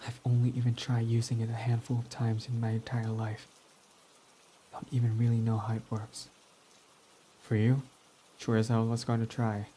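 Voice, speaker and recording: A man's voice: mid-range voice, completely flat delivery, normal speed, long pauses, dark tone, a little hiss or hum, close to an everyday voice. Man voicing a proud tsundere villain. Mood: quiet, confessing. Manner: defensive, swears when flustered. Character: proud tsundere young male villain, gruff but smitten. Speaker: ASMR roleplay performer. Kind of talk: ASMR roleplay.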